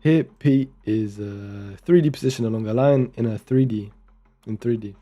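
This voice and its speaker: A man's voice: fairly low pitch, moderate pace, warm tone. A young man from Romania, casually commenting while live coding and muttering code aloud as he types, with chatty asides. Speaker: programming streamer.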